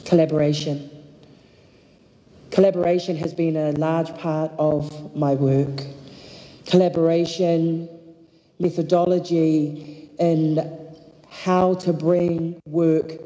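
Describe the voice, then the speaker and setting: A woman from Samoa giving a slow, heartfelt acceptance speech at a lectern microphone on a hall stage. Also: sits low, dark tone, slight background noise. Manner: slow, deliberate, heartfelt with long pauses. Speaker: award recipient. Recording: echoey hall, filmed from the audience.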